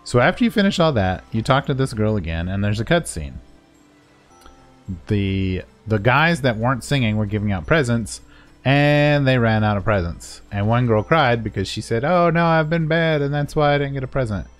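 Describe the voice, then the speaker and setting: A man's voice: medium pitch, lively intonation, moderate pace, faint music behind. A man giving a casual, unscripted game walkthrough in a playful, self-deprecating way. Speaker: gaming video creator and guide narrator.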